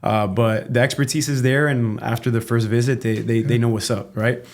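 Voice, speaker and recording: A man's voice: mid-range voice, normal speed. A man from Cuba, a chiropractor, talking candidly as a guest on a casual podcast, often tagging his points with "right?" and cursing freely. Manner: relaxed and candid, tags statements with "right?", swears freely.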